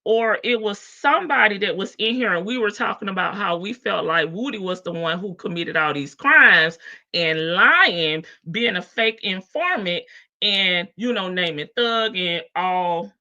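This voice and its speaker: A woman's voice: on the low side, expressive, measured pace, sharp tone, highs cut off. A woman hosting a livestream, chatting playfully about a court case, laughing often and greeting viewers by name. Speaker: livestream host. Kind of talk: casual gossip commentary on a court case.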